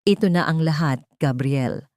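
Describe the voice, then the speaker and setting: A woman's voice: deep voice, normal speed, a little mellow, no room sound, clean studio sound, lightly acted. A woman voicing a betrayed woman bent on revenge, speaking coldly and firmly in an audio drama story. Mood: tense resolve. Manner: cold, firm, emphatic.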